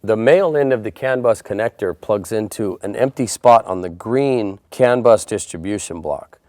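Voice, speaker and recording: Guy with a lowish voice walking thru an install step by step, plain n methodical, dry close sound. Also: normal speed, a little mellow, dry recording. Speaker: installer presenting a how-to tutorial. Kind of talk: step-by-step instructional narration.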